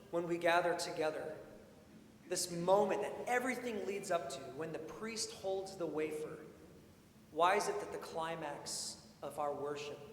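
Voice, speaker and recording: A man preaching a sermon in an informal, expressive way, with storytelling and questions repeated for emphasis. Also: on the high side. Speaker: preacher, priest. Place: large indoor church sanctuary. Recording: echoey church hall, long reverb, faint noise.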